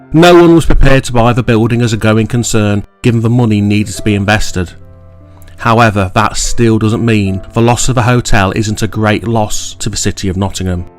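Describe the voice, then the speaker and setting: A man reading a scripted local history documentary in a measured, formal way. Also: fairly low pitch. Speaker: documentary narrator.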